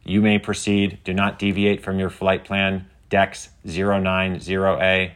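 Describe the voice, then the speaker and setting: A man's voice: low-pitched, flat delivery, measured pace, fairly bright tone, clean tone. A stern government drone from a children's adventure story voiced by a man. It issues commands in a clipped, official manner, with no room for argument. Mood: stern, commanding. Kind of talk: scripted children's audio story.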